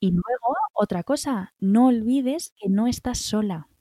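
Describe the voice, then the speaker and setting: A woman's voice: on the high side, measured pace, warm tone, slightly rough, no echo, clean studio sound. A middle-aged woman with a Spanish accent from Spain, giving encouraging, reassuring self-care advice in conversation, often checking in with little tag questions. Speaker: wellbeing guest giving advice.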